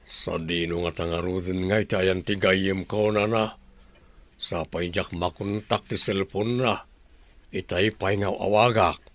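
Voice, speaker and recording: A man's voice: low voice, steady tone, unhurried, no echo. A man playing an earnest, concerned young friend in a Filipino audio drama.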